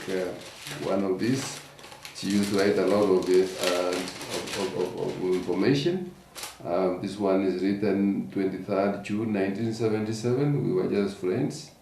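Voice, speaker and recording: Man in his 60s, dark mellow tone, halting speech with fillers, low voice. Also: vocal fry, a little hiss or hum. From Kenya. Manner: slow and halting, with many fillers. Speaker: interviewee, husband. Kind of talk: relaxed personal recollection. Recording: roomy, distant from the mic.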